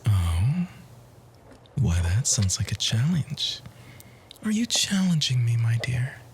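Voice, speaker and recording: A man playing a charming, composed bookish romantic in a flirtatious ASMR roleplay, speaking softly and breathily in courtly, flowery phrases that often drop to a whisper. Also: medium pitch, big pitch swings, slow pace, slight background noise. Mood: playful, intrigued.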